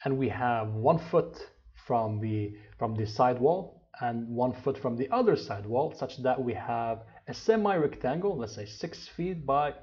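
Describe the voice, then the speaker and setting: A man's voice: medium pitch, expressive, unhurried, few pauses, darkish tone, lo-fi sound. A man in his thirties teaching an engineering course over slides, explaining step by step with restarts and fillers. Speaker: HVAC instructor. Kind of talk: slide lecture.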